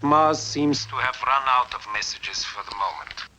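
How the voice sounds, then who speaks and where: A man's voice: mid-range voice, normal speed, bright, forceful tone, slight background noise. A man playing a mocking, unstable renegade scientist in an old 1950s film, goading as he talks. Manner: sardonic, goading.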